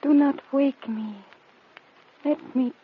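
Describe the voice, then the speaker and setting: An actress playing a frail dying woman in a hypnotic trance, weak, drowsy and halting, in a gothic radio drama. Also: high-pitched, steady tone. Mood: weary, entranced. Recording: dull narrow phone-like radio sound, faint noise.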